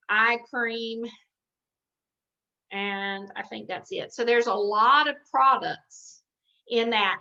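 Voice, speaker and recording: A woman with a rather high, forceful and bright voice leads an informal sales training over a conference call. She rambles in a chatty way and thinks aloud as she goes. The audio is slightly dull and lo-fi. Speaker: sales director training consultants. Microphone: conference call line.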